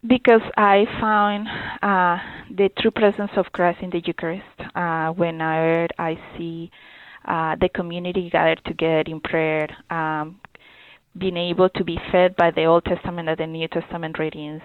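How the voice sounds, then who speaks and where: Earnest woman from Colombia, gravelly voice. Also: sits low, no room sound. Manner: earnest, halting, with many fillers and restarts. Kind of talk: interview answers. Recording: dry, phone-like narrow sound.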